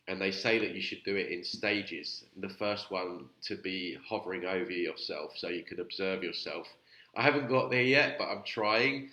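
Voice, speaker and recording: A man's voice: low-pitched, bright, forceful tone, reverberant, lo-fi sound. A man with a cold, sounding nasal, hosting a chatty, rambling commentary on his channel. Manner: chatty, rambling, with many fillers. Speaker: YouTube channel host reviewing videos. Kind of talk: casual vlog commentary.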